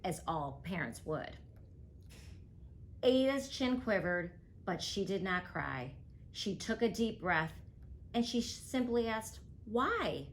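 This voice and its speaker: Slow, expressive woman reading a rhyming book. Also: lowish voice, a little hiss or hum. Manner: rhythmic, expressive rhyming delivery. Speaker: guest reader. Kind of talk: picture book read-aloud.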